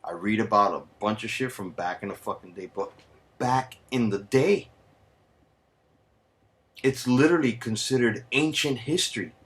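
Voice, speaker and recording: A man's voice: on the low side, moderate pace, pauses often. A man from the United States, ranting heatedly and sarcastically on a vlog, swearing constantly and dropping in Spanish slang. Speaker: vlogger. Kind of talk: profane angry rant.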